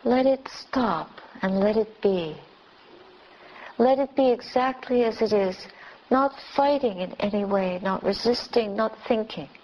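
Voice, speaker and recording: A woman meditation teacher leading a guided meditation in short, unhurried phrases with long pauses between them. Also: on the high side. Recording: dull lo-fi recording with clear background noise.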